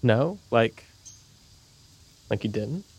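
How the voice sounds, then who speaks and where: Young camp counsellor, average male pitch, earnest, reassuring, halting, naturalistic audio drama. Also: expressive, a little mellow, a little hiss or hum. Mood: awkward, placating. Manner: hesitant, reassuring.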